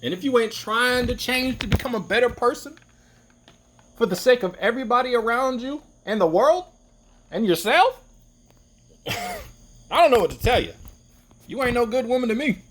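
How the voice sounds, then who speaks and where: A man's voice: sing-song, moderate pace, long pauses, a bit bright. A man giving an opinionated, rambling monologue to camera, often restarting his sentences. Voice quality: deep voice. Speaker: vlogger.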